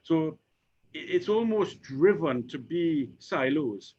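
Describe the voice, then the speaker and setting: A Trinidadian man in his fifties, an insurance executive speaking on a panel, who talks haltingly with many repeated words and fillers. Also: fairly high pitch, animated, mellow. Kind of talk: conversational panel discussion. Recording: dull lo-fi recording.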